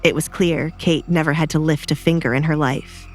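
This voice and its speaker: A woman narrates a scripted romantic audio drama. Her voice sits fairly low, with music playing underneath. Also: fairly even intonation, normal speed.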